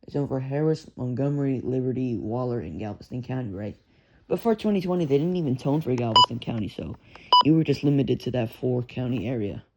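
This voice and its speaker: Gravelly young Texan man rambling. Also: medium pitch, lively intonation, a little fast. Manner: rambling, swears, frequent fillers and restarts. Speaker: radio hobbyist. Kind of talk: casual rambling monologue. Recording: lo-fi with background hiss.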